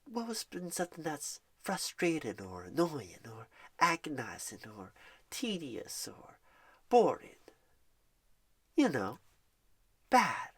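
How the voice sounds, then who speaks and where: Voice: medium pitch, no room sound. Folksy sweet ASMR job recruiter. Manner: folksy, sweet, calls the listener darling and honey; drops to soft whispers at times. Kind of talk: ASMR roleplay phone screen. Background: keyboard typing.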